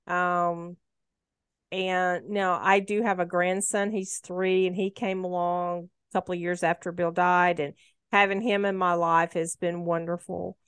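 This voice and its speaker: A woman's voice: fairly low pitch, flat delivery, measured pace, a bit bright, clean tone, no room sound, studio quality. A woman of about sixty, talking earnestly in a casual conversation and giving advice. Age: 60s. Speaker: guest sharing her story.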